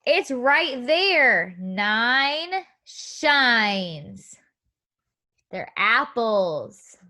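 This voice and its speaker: Bright, high-pitched woman teaching preschoolers. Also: very animated, extremely slow. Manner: encouraging, simple phrasing with guiding questions. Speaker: preschool teacher reading a story aloud. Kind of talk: interactive read-aloud lesson for young children.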